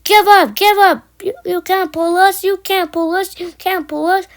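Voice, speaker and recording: Lady doing bratty chanting train trucks for a kids story, really high, loud and mocking. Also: lively intonation, normal speed. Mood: taunting. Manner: chanting, repetitive jeering. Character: troublesome trucks, rude taunting gang of railway wagons. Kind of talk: children's storybook character reading.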